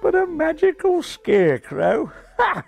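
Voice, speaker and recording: A man voicing a brash, excitable storybook pigeon with an Australian accent and a blunt, slangy manner. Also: high voice, a little slow, soft background music, voice pushed up, full character voice. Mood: excited, scornful.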